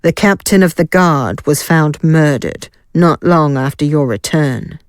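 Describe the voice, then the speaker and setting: A woman's voice: deep voice, measured pace, no room sound, studio quality. A woman playing an assured, wry guild fighter in a fantasy audio drama. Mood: confident. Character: Ilaeda, confident wry female guild fighter. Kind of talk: scripted audio drama acting.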